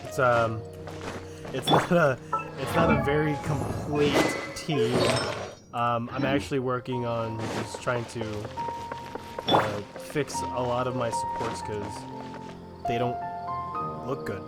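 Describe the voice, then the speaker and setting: A woman giving casual, halting commentary while streaming a game. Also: very deep voice, fairly even intonation, over loud music. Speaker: gaming streamer.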